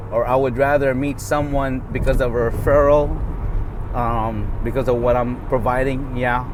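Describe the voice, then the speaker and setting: A man's voice: medium pitch, noisy background. A middle-aged man whose first language is Tagalog, talking off the cuff in a rambling vlog with many fillers, recorded while driving. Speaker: vlogger. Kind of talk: casual off-the-cuff vlog talk.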